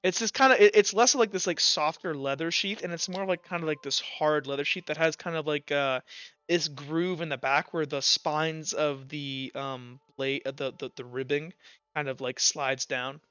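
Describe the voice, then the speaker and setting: A man's voice: a little high, moderate pace, sharp tone, clean tone, no room sound, muffled recording. A man narrating and explaining a tabletop roleplaying game as game master in a rambling, self-correcting way.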